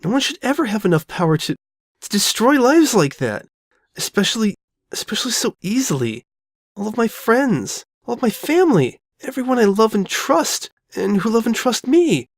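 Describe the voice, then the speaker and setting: A woman's voice: fairly high pitch, very animated, no echo, studio quality, pitched above the performer's own voice. A woman voicing a frightened, guilt-ridden young female pony who stammers and doubts herself in an audio drama. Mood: anguished. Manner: stammering, halting, anxious. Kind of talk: all-dialogue fan audio drama.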